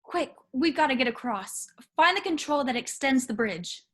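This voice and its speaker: A woman playing a bold, sharp-tongued rebel princess in a script table read. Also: sits high, lively intonation, moderate pace. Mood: urgent. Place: virtual read, recorded remotely.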